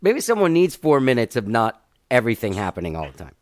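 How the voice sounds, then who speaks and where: A man's voice: medium pitch, wildly swooping pitch, quick pace, no room sound, studio quality. A man in his fifties hosting a podcast interview, laid-back and self-deprecating with frequent chuckles, talking over a video call. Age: 50s. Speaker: podcast host. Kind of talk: casual interview chat. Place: dining room full of instruments.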